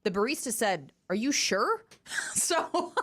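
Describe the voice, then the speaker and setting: A woman's voice: on the high side, big pitch swings, slow pace, fairly bright tone. A woman co-hosting a radio morning show, reacting playfully and squeamishly in casual banter. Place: radio studio.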